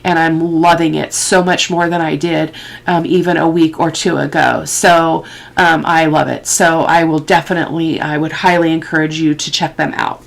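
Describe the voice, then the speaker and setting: A middle-aged woman with a head cold, sniffly, giving a casual craft tutorial and thinking aloud in a halting way as she works. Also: low voice, flat delivery, vocal fry. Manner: unhurried, halting, thinking aloud while working. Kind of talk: casual, rambling craft process talk. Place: indoors at a craft table.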